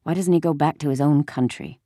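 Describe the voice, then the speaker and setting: A woman voicing an anxious but gently firm wartime mother in a storybook, with a worried manner. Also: low-pitched, a little fast, no echo, clean studio sound. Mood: murmuring. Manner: worried, murmuring.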